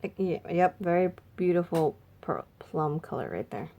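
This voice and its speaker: A woman makeup vlogger chatting casually and thinking aloud, her voice a little raspy and stuffy from a cold. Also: lowish voice, expressive, normal speed, muffled recording. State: slightly raspy, congested from a cold. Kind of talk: casual makeup swatch review chat.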